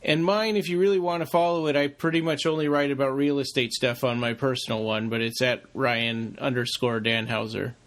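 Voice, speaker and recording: A man with a middle-pitched voice co-hosts a podcast. He talks in an informal, conversational way, as in easy back-and-forth chat with fellow hosts. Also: steady tone, a little fast, hardly any pauses, fairly bright tone. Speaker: podcast co-host.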